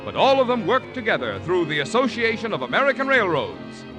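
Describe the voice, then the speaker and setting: A male announcer from 1940s radio reads formal scripted copy. His voice is fairly high and very inflected, with music playing clearly behind it. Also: wildly swooping pitch, a little fast.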